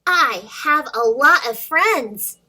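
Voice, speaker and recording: A woman's voice: high-pitched, expressive, slow pace, sharp tone, exaggerated voice. A woman reading the part of a cheerful young dragon in a children's picture book, proud and exclamatory, drawing out the words. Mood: proud, gleeful.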